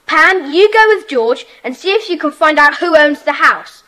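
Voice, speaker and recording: A woman playing a bossy, eager British schoolboy club leader in a children's audio drama, brisk and commanding. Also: high voice, animated, sharp tone, slight background noise, low-quality recording.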